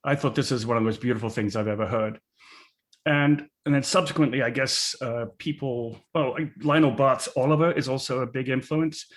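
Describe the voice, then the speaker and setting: A man raised in Australia and England, a musical's co-creator, answering interview questions in a reflective, halting way. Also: medium pitch. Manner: reflective, halting, with many fillers.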